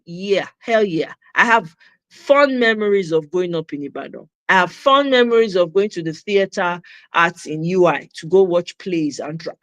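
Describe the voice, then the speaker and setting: A woman's voice: mid-range voice, a bit bright, dry recording. A Nigerian woman hosting a commentary channel, speaking in a passionate opinion monologue and repeating her points for emphasis, with Pidgin phrases in her accent.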